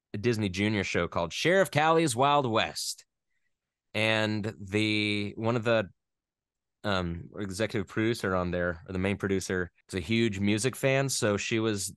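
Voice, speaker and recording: Low-voiced man, dry close sound. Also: a bit bright, clear, resonant tone, no echo. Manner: rambling, many fillers and self-corrections, laughs often. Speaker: voice actor guest. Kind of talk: casual interview chat.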